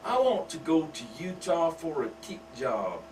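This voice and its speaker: A man's voice: a little high, big pitch swings, unhurried, a little mellow, pitched above the performer's own voice. A man doing a brassy crude country wife. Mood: boastful. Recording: distant mic with faint hum.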